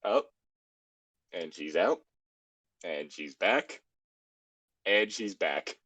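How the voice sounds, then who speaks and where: A man's voice: sits low, expressive, extremely slow, a bit bright, no room sound, highs cut off. A man co-hosting a podcast, chatting casually and joking in a wry way over an online call. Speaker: podcast co-host. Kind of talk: casual joking group chat.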